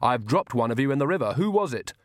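A man's voice: medium pitch, sing-song, quick pace, no room sound, clean studio sound, close to an everyday voice. A man voicing a plucky, defiant young woman, a witch in a fantasy audiobook.

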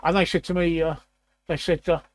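A man in his sixties with a croaky, raspy voice, hosting a casual chat and often clearing his throat. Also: a little high, flat delivery, fairly quick. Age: 60s. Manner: repeats words, frequent throat clearing. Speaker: host.

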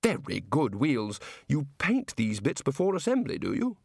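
A man reading a story aloud and voicing a bluff, dense older male head wizard who speaks slowly and heartily. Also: mid-range voice, huge pitch swings, vocal fry, husky, no echo, clean studio sound, exaggerated voice. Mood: hearty oblivious.

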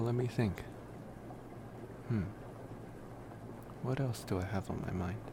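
A man playing a laid-back, wry college-age vampire in an intimate ASMR roleplay, rambling casually and hesitantly with sighs and pauses. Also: on the low side, vocal fry, noisy background. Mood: musing, teasing.

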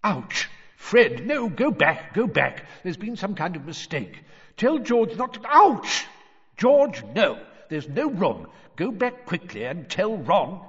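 A man's voice: on the high side, big pitch swings, moderate pace, fairly bright tone, breathy edge, dry recording, muffled recording, voice pushed up, full character voice. A man voicing a flustered, apologetic middle-aged wizard father in an audiobook, eager and rambling. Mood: alarmed.

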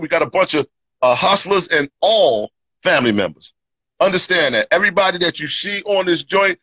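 A man's voice: a little high, bright, forceful tone, no room sound, poor audio. A man hosting a casual live podcast, talking in an upbeat, loose way with lots of fillers and "you know what I'm saying". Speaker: podcast host. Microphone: podcast microphone.

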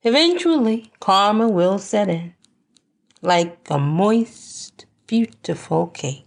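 A woman delivering a scripted spoken-word monologue in a deliberate, measured way, with pauses between phrases. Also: mid-range voice, animated. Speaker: podcast host.

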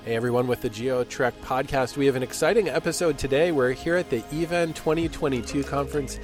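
A man's voice: medium pitch, fairly quick, over music. A man hosting a podcast, chatting in a friendly, conversational way with a guest. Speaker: podcast host. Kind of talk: casual interview. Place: outdoors at a conference venue.

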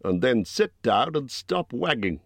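Man doing a rough booming bear-man host, higher and louder than his own voice, dry close sound. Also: very animated, a little slow, no room sound, exaggerated voice. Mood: gruff. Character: gruff huge bear-man host, blunt and impolite.

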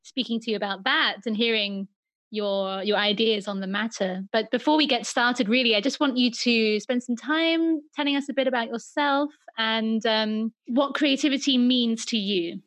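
A woman's voice: fairly high pitch, normal speed, a bit bright. A woman hosting a podcast, interviewing a friend over a video call with some hesitant fillers. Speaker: podcast host. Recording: lo-fi call audio.

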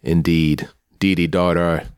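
A man playing a suave, sly underworld club owner in a comic noir radio play, speaking in a smooth, teasing, refined manner. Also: low voice, little pitch movement, unhurried, dry recording, studio quality. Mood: composed, sly.

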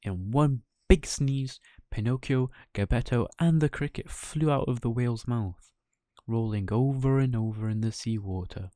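A man's voice: mid-range voice, moderate pace, warm tone, dry recording, studio quality. A man reading a children's bedtime story as its narrator.

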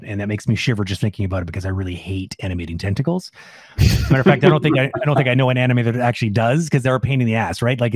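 A man's voice: mid-range voice, a little mellow, no room sound, studio quality. A man talking casually and off the cuff as an animator co-hosting a Q&A, rambling and emphatic with informal phrasing. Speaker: animator and Q&A co-host. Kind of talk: casual unscripted Q&A discussion.

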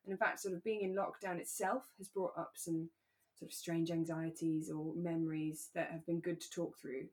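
A woman co-hosting a relaxed conversational podcast, talking in a candid, reflective and careful way. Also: fairly low pitch, normal speed, rough recording. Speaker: podcast co-host.

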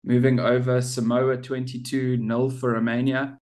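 A man co-hosting a relaxed sports podcast. He talks in an easy, bantering way and often laughs at his own jokes. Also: mid-range voice, moderate pace. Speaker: podcast co-host. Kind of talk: casual sports chat.

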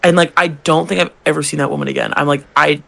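Gravelly young man telling retail stories. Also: on the high side, quick pace. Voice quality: gravelly, feminine-leaning voice. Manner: animated, swearing, acts out customers' lines. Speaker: podcast co-host, drag queen. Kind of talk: casual storytelling chat.

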